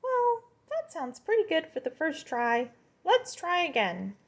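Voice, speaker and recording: A woman's voice: very high-pitched, huge pitch swings, unhurried, pitched above the performer's own voice, full character voice. A woman voicing a proud little locust in a children's story, a fussy singing teacher giving precise instructions. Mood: instructing.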